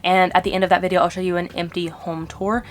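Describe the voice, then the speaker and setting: A woman's voice: fairly low pitch, flat delivery, quick pace. A young woman talking in a casual, upbeat, informal way, as a vlogger addressing her viewers. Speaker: vlogger hosting a home declutter channel. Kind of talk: casual chatty vlog.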